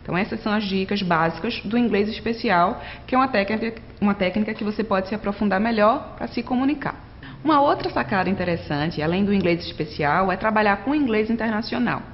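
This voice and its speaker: A woman's voice: medium pitch, quick pace, a little hiss or hum. Chatty woman lecturing, dull lo-fi sound. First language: Portuguese. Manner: conversational, frequent tag questions, addresses students directly. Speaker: teacher. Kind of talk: recorded distance-learning lecture.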